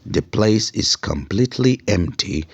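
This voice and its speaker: A man narrating a folktale as a storyteller in a simple, unhurried oral style. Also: on the low side, animated, gravelly, breathy edge, dry recording.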